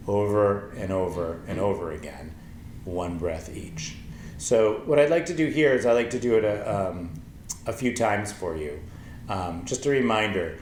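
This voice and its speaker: Low-voiced man teaching yoga calmly. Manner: slow, gentle, thoughtful, with many ums. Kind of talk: calm spoken yoga lesson with chanting. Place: small wooden-floored room with paper screen, indoors. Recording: echoey room, faint ambient noise.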